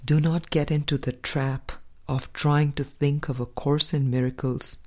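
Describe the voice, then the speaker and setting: A woman reading a spiritual audiobook as a scripted narration, with measured, deliberate phrasing and pauses. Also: low-pitched, flat delivery, darkish tone. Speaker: audiobook reader.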